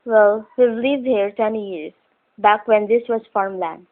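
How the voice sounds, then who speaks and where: A woman's voice: mid-range voice, slow pace, close to an everyday voice. A woman playing an anxious wife held hostage in a radio thriller, speaking in a folksy, conversational way on a tinny recording. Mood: guarded, chatty. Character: anxious wife, a hostage keeping up small talk. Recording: tinny, noisy narrow-band radio recording.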